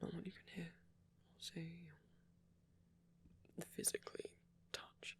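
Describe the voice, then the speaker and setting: A man playing a gentle, tender old vampire priest in an intimate ASMR roleplay, speaking slowly and hesitantly in a soft, breathy whisper. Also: a little high, completely flat delivery. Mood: soothing, guiding. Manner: slow, hesitant, reassuring, softly formal phrasing.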